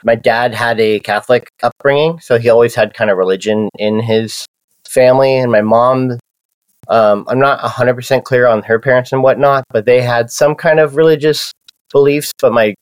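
A man's voice: mid-range voice, fairly even intonation, normal speed, dry recording. A man, telling his life story in a loose, casual way with many fillers and restarts. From Southern California. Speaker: podcast guest.

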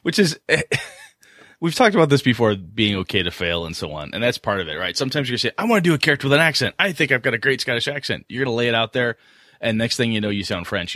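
A man's voice: lowish voice, fairly bright tone, no room sound, studio quality. A man in his forties co-hosting a casual tabletop gaming podcast, laughing often and restarting his sentences as he talks. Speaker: podcast co-host.

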